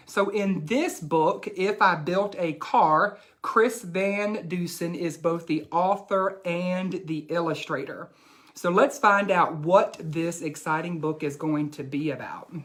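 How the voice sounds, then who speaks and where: A woman teacher giving a children's read-aloud, explaining things deliberately and instructively and addressing the children directly. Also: fairly low pitch.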